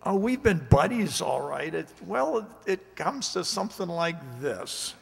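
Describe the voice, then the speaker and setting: An actor playing a timid, amiable night security guard in a radio drama, hesitant and folksy, stammering at times. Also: male voice, high-pitched, big pitch swings, measured pace, vocal fry, a bit bright, husky, in a large hall, full character voice. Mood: amiable, uneasy. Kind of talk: radio drama acting and narration.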